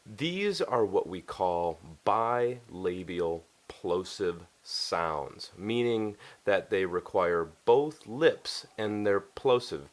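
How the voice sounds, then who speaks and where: A man teaching English pronunciation in an instructional style, explaining things deliberately and step by step. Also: on the low side. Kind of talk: instructional lesson to camera.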